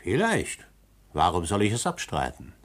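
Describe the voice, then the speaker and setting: A firm, dignified scientist. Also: male voice, sits low, wildly swooping pitch, full character voice. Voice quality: firm, measured, dismissive. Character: dignified older male robotics director. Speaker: scientist Dr. Lanning. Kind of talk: radio drama acting.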